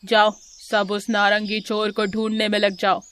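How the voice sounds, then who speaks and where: Woman playing a stern, even-toned cartoon lion king. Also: medium pitch, unhurried, clear, resonant tone, natural voice. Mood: commanding. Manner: commanding, stern. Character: stern, commanding male lion king, quick to anger.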